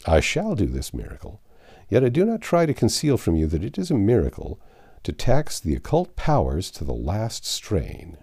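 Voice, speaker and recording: A man's voice: on the low side, huge pitch swings, moderate pace, warm tone, husky, heavily acted. A man voicing a confident, easily exasperated Yankee in a novel reading, blunt, plain-spoken and boastful. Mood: self-assured. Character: confident, exasperated Yankee man stranded among medieval folk. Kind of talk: audiobook character dialogue.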